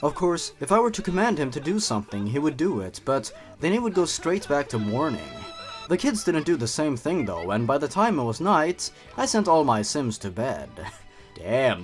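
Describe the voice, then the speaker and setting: A young man narrating and commenting on an online horror story, expressively and with playful, wry asides. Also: mid-range voice. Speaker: YouTube host and narrator. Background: background music.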